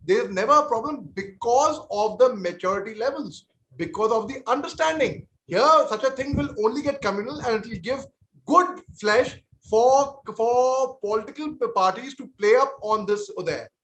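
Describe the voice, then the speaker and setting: Indian male panelist, 40s, high-pitched, sincere and peacemaking, echoey room. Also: moderate pace, fairly bright tone, a little hiss or hum. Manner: earnest, conciliatory, often repeats and restarts words. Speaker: army veteran panelist on a TV debate. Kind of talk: televised panel debate.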